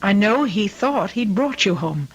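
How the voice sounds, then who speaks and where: A woman's voice: mid-range voice, expressive, unhurried, slightly rough, highs cut off. Casting: anxious mother of a grown daughter, middle-aged, mid-range voice, earnest and fussing, vintage radio play. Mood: gentle, probing. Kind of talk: old-time radio drama acting.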